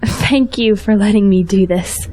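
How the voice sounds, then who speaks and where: An actress playing a kind, devout schoolteacher in an audio drama, speaking in a sincere, gentle way. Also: female voice, medium pitch, unhurried, close to an everyday voice, rough recording. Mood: sincere, humble. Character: devout, kind young schoolteacher eager to help.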